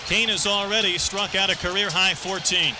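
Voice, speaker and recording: A man's voice: sits high, steady tone, moderate pace. A man calling live baseball play-by-play as an announcer from a stadium booth. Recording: noisy ballpark broadcast, loud crowd. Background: roaring stadium crowd.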